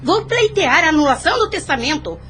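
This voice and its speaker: A woman's voice: sits high, sing-song, a little fast, a bit bright. A Brazilian woman playing a haughty, indignant aunt in a formal audio drama, speaking with scorn. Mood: outraged. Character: indignant, haughty aunt contesting her father's will.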